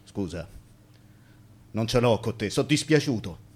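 A man's voice: fairly low pitch, lively intonation, measured pace, husky. Plain-spoken Roman workman's ghost. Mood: apologetic, subdued. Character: ghost of a working-class railway digger killed at work. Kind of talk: Italian radio theatre acting in verse-like dialect dialogue.

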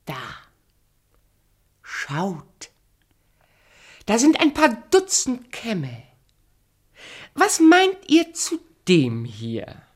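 Vain, scheming queen for radio drama, hugely animated intonation, unhurried, wheedling then imperious. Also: medium pitch, breathy edge, full character voice. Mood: coaxing, sly. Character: vain, cruel queen, at times posing as a coaxing pedlar woman. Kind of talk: radio play acting.

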